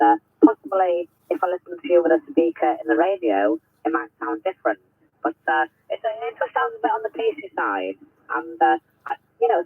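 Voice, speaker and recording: Ham radio operator, 50s man, husky, rambling and hesitant, somewhat high. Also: dry recording, phone-quality sound. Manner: chatty, hesitant, full of restarts. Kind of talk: ham radio contact chat.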